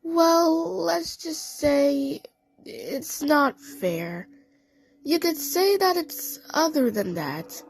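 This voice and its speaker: Woman playing a female cartoon cat for a fan audio play: very high voice, confiding, uneasy, halting. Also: vocal fry, a little mellow, exaggerated voice, rough recording.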